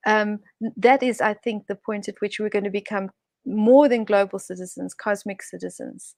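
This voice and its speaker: A woman's voice: medium pitch, steady tone, moderate pace, creaky, darkish tone, no echo. A woman guest on an online talk show, speaking in a thoughtful, expansive way with frequent restarts. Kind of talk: reflective online conversation. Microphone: video call.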